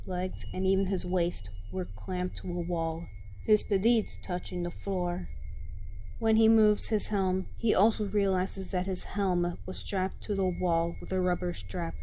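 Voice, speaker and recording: A woman's voice: on the low side, a little mellow, poor audio. A woman storyteller reading scripted fan fiction as dramatic narration.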